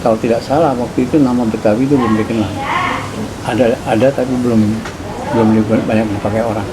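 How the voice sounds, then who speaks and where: A man in his seventies with a Jakarta accent, recounting his memories slowly and deliberately in an interview at home. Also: mid-range voice, steady tone. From Indonesia. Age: 70s. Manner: measured, deliberate storytelling. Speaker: interviewee, linguist. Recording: loud background noise.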